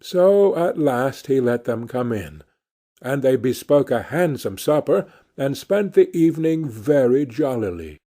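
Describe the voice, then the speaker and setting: Male narrator for children's fairy tale audiobook, dark mellow tone, scripted read. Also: mid-range voice, big pitch swings, measured pace. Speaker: audiobook reader.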